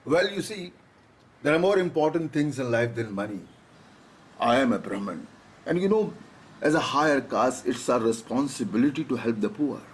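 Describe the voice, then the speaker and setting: An actor playing a slippery, jovial hospital doctor with an Indian accent and a breezy, joking manner, in a naturalistic radio drama. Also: male voice, mid-range voice, animated, moderate pace, close to an everyday voice. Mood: self-justifying. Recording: noisy background ambience.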